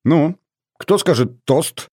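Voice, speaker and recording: A man's voice: on the high side, lively intonation, takes it slowly, a little mellow, breathy edge, no room sound, clean studio sound, voice pushed up, exaggerated voice. A man voicing a confident teenage boy in an audiobook, breezy and playfully pompous, with mock-playboy nonchalance. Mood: nonchalant. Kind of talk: audiobook character voice.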